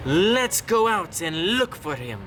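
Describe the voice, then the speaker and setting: A man's voice: high voice, big pitch swings, slow, bright, forceful tone, a little hiss or hum, voice pushed up, exaggerated voice. Man putting on a plaintive storybook cat. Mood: weepy. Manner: wailing, scoffing. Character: plaintive, scornful cat, wailing and fretful.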